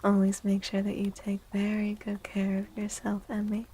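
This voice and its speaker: A woman host speaking softly and gently in a livestream ASMR style, breathy and often slipping into a whisper, drawing out her words. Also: medium pitch, monotone, a little hiss or hum. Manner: slow, gentle, drawn-out words. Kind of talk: soft-spoken ASMR livestream chat.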